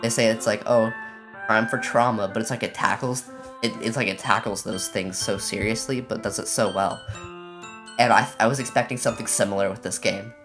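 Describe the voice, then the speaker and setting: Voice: mid-range voice, steady tone. Casual let's player over game music. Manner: informal, halting, repeats "like". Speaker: let's player streaming a visual novel. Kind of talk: casual gameplay commentary mixed with reading game text aloud.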